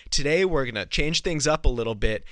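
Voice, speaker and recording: A man with a bright, forceful voice hosts a podcast in a roomy, slightly echoing space. He is punchy and informal, addressing listeners and guests like buddies. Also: on the high side, lively intonation, fairly quick, clean studio sound. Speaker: podcast host. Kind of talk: podcast hosting, promotional intros and casual chat.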